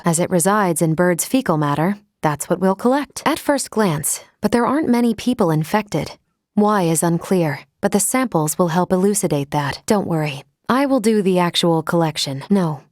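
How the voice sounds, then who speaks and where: An actress playing a calm, analytical woman science officer in a video game, speaking in formal, precise, measured and clinical diction. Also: on the low side, no echo, studio quality, lightly acted. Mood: calm, firm. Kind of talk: scripted video game voice acting.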